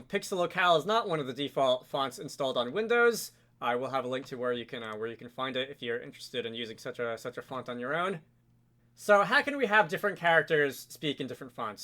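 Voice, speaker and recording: Bright-voiced man giving a casual tutorial. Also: a little high. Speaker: tutorial host. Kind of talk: casual software tutorial narration.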